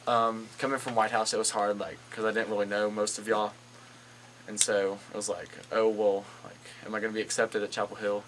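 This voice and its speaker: A teenage boy, a high school student actor, reflecting casually in an interview with lots of "like". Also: flat delivery, brisk, fairly bright tone. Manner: informal, filler-heavy "like". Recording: clearly noisy room recording.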